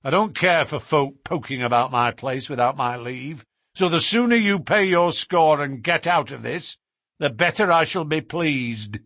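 A man reading aloud, voicing a surly, hostile village innkeeper who talks bluntly and loudly. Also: a little high, animated, a little slow, dry recording, clean studio sound, heavily acted. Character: gruff surly male village innkeeper and smith.

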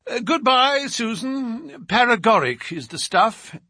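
Storybook sleuth, male reader in character: shrewd, commanding, precise, slightly husky, wide swings in pitch. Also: sing-song, measured pace, bright, forceful tone, no room sound, heavily acted. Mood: lively. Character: shrewd male detective, sardonic, commanding, teasingly polite.

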